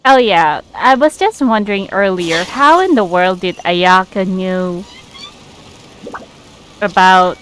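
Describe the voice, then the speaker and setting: Slow-paced woman commenting on a game. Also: mid-range voice, fairly bright tone, slight background noise, low-quality recording. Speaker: game streamer. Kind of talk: casual playthrough commentary.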